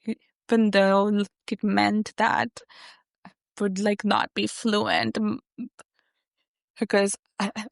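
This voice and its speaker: A young adult woman who stutters, speaking haltingly with many fillers as an interview guest. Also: medium pitch, creaky, no room sound, clean studio sound. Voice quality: stutter with repeated sounds and blocks. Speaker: guest, software engineer. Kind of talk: conversational interview.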